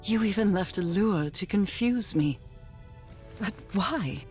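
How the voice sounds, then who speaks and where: A woman's voice: medium pitch, animated, slow. A woman playing a determined, emotional 1930s explorer in an adventure game, musing aloud expressively and questioning herself. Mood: grave deduction. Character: determined, distraught 1930s woman explorer searching for missing husband. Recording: loud music over the voice. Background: dramatic game music.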